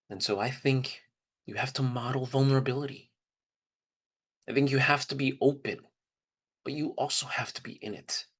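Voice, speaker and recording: A man's voice: medium pitch, steady tone, moderate pace, long pauses, fairly bright tone, breathy edge. A man telling personal stories in a casual, conversational way, candid and openly emotional. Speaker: trip leader for student service trips.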